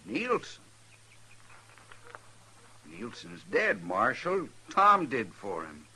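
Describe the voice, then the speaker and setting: Forceful, proud American cowhand. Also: male voice, on the low side, wildly swooping pitch, extremely slow, slightly rough, heavily acted. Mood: defiant. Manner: defiant, folksy western talk. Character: proud, stubborn frontier cowhand spoiling for a fight. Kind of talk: 1950s radio western acting. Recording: noisy old-time radio drama recording.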